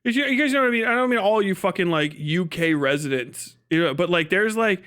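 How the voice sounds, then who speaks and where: A man's voice: high voice, expressive, a little fast, fairly bright tone. An American man giving casual, profane livestream commentary in a crude, mocking, rambling way. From United States. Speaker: livestream host reacting to a video.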